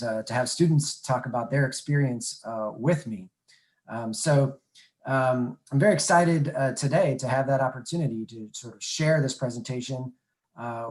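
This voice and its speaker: A middle-aged man, an admissions director, hosting an online panel, talking haltingly with many filler sounds. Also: medium pitch, low-quality recording. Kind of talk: webinar presentation.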